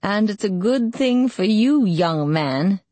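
A woman's voice: medium pitch, lively intonation, slow pace, mellow, dry recording. A woman voicing a very old, brusque woman healer with a quavering voice, teasing and bossy, in an audiobook. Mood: cackling, teasing. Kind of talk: audiobook character voice.

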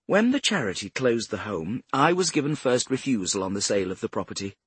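A man's voice: mid-range voice, very animated, fairly quick, husky, dry recording, voice pushed up. A man narrating an audiobook as a prim, self-righteous older woman with a cultured English accent. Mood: arch, composed. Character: cultured, haughty retired care-home matron, a grandmother.

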